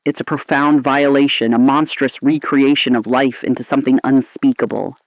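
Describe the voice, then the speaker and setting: A man playing an ominous, appalled 1950s radio anchor, delivering scripted lines in a grave, deliberate, theatrical style. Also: mid-range voice, fairly even intonation, no echo, lo-fi sound, natural voice. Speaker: radio host.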